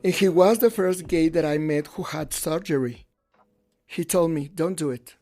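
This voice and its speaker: Male actor as older storyteller, documentary voice-over, soft dark tone, candid, faint music underneath. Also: on the high side, moderate pace, lightly acted. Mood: frank, reminiscing. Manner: conversational, frank. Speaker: English voice-over translator of interviewee. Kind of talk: first-person dubbed storytelling.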